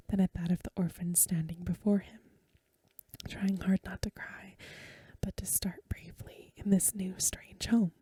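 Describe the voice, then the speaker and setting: A woman reads a story in a soft ASMR whisper, extremely breathy and very dark in tone. Her delivery is gentle and measured, close to the mic in a dry space. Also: lowish voice, no room sound. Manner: gentle, hushed, measured reading. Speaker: ASMR host and reader. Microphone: close microphone.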